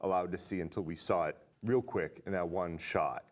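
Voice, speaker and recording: A man co-hosting a casual film discussion, analytical and animated, posing questions to his partner. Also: low voice, moderate pace. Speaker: podcast co-host.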